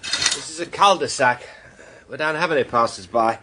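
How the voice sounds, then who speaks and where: An actor playing a proud, stubborn British gentleman sleuth in a radio detective drama, speaking in a terse, dry, formal manner. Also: mid-range voice, moderate pace. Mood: dry, polite.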